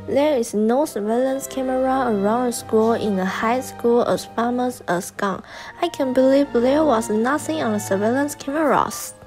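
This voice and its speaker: A young woman acts as an earnest female detective's partner in a student radio play. She speaks Taiwanese-accented English in a fairly high voice, with music playing behind her. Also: normal speed, natural voice. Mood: questioning. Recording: voice over background music.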